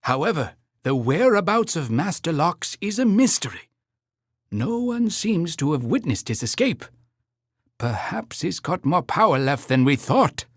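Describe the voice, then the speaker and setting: A man's voice: on the high side, very animated, a little slow, dry recording, clean studio sound, exaggerated voice. A man voicing an earnest cartoon creature mayor who narrates game cutscenes in a grand, proclaiming storybook manner. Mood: grave, urgent. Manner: theatrical, proclaiming, formal storybook phrasing. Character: animated Funkey town mayor, earnest, grandly celebratory storyteller.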